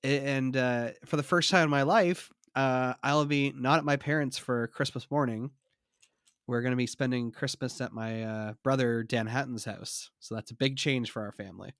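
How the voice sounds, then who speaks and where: A man co-hosts a casual podcast, his voice a little stuffy as he gets over a cold. He talks in an easygoing, chatty way, happy to drop trivia and poke fun at himself. His pitch sits in the ordinary middle, and the sound is dry and close. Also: fairly even intonation, normal speed, fairly bright tone, no room sound. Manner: chatty, shares trivia, self-deprecating. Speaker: podcast co-host. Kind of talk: casual chat.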